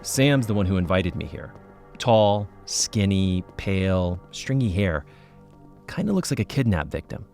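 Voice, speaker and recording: An American actor playing a skeptical paranormal investigator who hosts a podcast, speaking in a casual, confiding, wry way. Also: male voice, deep voice, big pitch swings, unhurried, creaky, darkish tone, faint music behind, exaggerated voice. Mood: wry narrating. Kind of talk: scripted narration and field recording in audio drama.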